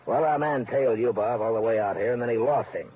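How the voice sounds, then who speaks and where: An actor playing a heavy-set, tough American FBI agent in a vintage radio crime drama, speaking in a blunt, businesslike way. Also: male voice, mid-range voice, lightly acted. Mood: brisk explaining. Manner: brisk, blunt, matter-of-fact. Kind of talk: 1940s-50s radio crime drama acting. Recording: narrow-band vintage radio, faint noise.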